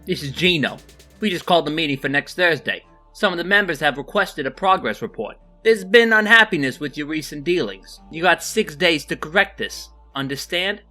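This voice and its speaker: An actor playing a stern mobster in a comic book audio drama, curt and threatening. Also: male voice, a little high, animated, normal speed, sharp tone, soft background music. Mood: stern warning. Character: stern mobster delivering an ultimatum.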